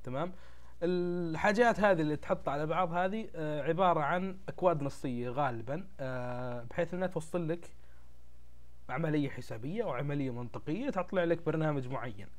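Very fast-talking young Gulf Arabic man, congested. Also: on the high side. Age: young adult. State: congested, changed voice from a tired throat. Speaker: YouTube tech channel host. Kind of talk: casual explainer talk to camera. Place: small indoor room at a desk, webcam recording.

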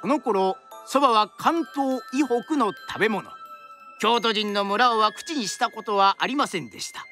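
A woman's voice: a little high, normal speed, bright, forceful tone, soft background music. A woman narrating a scripted story in a formal, polite storyteller's manner.

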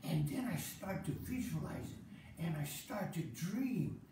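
A woman voicing a hearty, dreamy Rasta man who preaches peace in a slow, loud, drawn-out way on an outdoor festival stage. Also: sits low, sing-song, a little hiss or hum, voice pushed down. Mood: laid-back preaching. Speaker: festival performer. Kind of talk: comic stage monologue, visionary speech. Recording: echoey stage sound from a distant mic.

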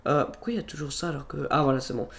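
A man's voice: a little high, very fast, creaky, a bit bright. A young man with a cold, narrating a casual screen-recorded video-editing tutorial in a rambling way, with many fillers and restarts. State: has a cold. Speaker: tutorial youtuber. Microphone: computer microphone.

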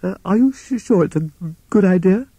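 A man's voice: high-pitched, huge pitch swings, a little fast, warm tone, breathy edge, no echo, voice pushed up, exaggerated voice. A man voicing a timid, cowardly toad, nervous and stammering, in a children's animal story. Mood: frightened. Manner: stammering, hesitant, anxious.

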